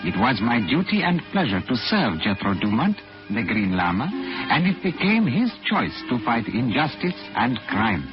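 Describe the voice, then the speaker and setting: An actor playing a devoted Tibetan manservant who tells the story in formal, measured 1940s radio style. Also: male voice, medium pitch, big pitch swings, natural voice. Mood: solemn, storytelling. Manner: formal, measured, proverb-quoting. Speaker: narrator and sidekick. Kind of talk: 1940s radio drama acting and narration. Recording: dull vintage 1940s radio, music behind.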